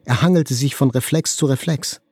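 An actor playing an attack survivor, telling events in a sober, precise, scripted literary narration. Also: male voice, medium pitch, normal speed, a little mellow, breathy edge, dry recording, close to an everyday voice. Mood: sober, detached.

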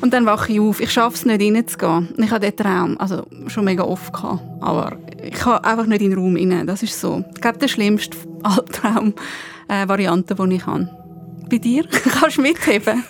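A woman's voice: medium pitch, normal speed. A woman with a Swiss German accent chatting casually as a podcast co-host.

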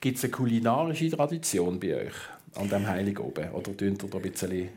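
A man's voice: lowish voice, brisk, a little mellow, breathy edge. Swiss German male radio host. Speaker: radio talk show host. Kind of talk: conversational radio interview. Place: radio studio. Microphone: close studio microphone.

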